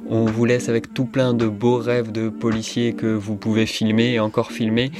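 A man's voice: fairly low pitch, completely flat delivery, moderate pace, over music. A man hosting a radio show in a casual, slightly hesitant way.

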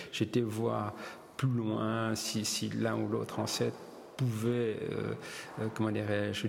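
A man's voice: lowish voice, creaky, a little hiss or hum. A middle-aged man from Belgium, a gallery owner and publisher, telling stories in an interview with many hesitations and restarts. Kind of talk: relaxed interview storytelling. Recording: long reverb, faint ambience.

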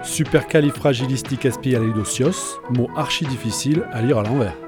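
A man's voice: medium pitch, normal speed. A man hosting a student radio show, reading jingles and tongue twisters playfully. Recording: music bed under the voice.

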